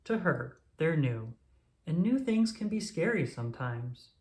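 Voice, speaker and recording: Narrator as a gentle mother tiger, lilting. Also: medium pitch, very animated, unhurried, roomy sound. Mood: reassuring. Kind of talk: children's storybook character voice.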